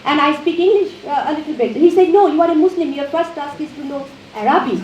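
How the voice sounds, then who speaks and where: A woman's voice: high voice, quick pace. A woman from Bangladesh, a native Bengali speaker with a Bangladeshi accent, gives an academic lecture as a professor, often repeating and rephrasing her phrases. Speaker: university professor giving a lecture. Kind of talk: academic lecture, partly extemporized. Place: university auditorium. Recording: muffled, echoey hall with background noise.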